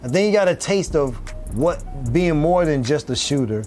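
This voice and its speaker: A man giving sports analysis as a guest, measured, with repeated restarts. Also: fairly high pitch, lively intonation, darkish tone, faint music behind.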